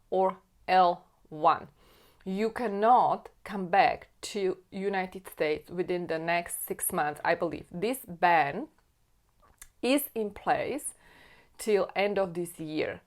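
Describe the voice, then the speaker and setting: A woman from Slovakia with a Slovak accent, an immigration attorney talking straight to camera and repeating her key points earnestly. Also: fairly low pitch. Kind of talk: explainer video to camera.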